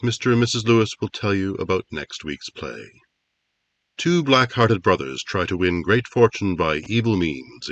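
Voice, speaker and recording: A man's voice: fairly low pitch, a bit bright. A man playing a formal 1950s network radio announcer, reading promotional copy and credits theatrically.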